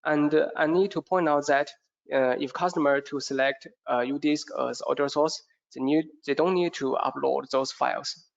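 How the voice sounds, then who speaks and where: A man giving a product training presentation in a hesitant, halting way, often repeating words, with a creaky voice. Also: fairly high pitch, fairly even intonation. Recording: dull, muffled lo-fi audio.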